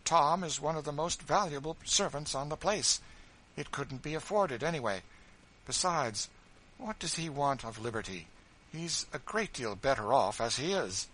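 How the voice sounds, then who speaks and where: Man doing a petulant rich widow, sharp, complaining, high, bright tone. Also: animated, normal speed, poor audio. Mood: haughty. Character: petulant, self-pitying wealthy widow.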